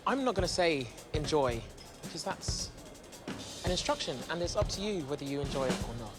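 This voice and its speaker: Pedantic waiter: solicitous, precious delivery, lively intonation, music playing underneath. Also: male voice, fairly high pitch, brisk. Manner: precious, over-explaining. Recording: music behind the dialogue.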